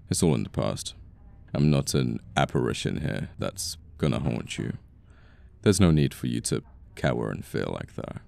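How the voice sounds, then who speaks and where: An extremely low, creaky British male voice acted by a man for a cold young boss in an ASMR roleplay. He speaks in formal, sardonic phrases with a haughty, bitter edge. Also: a little mellow, exaggerated voice. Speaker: voice actor in an audio roleplay. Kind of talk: intimate ASMR roleplay acting.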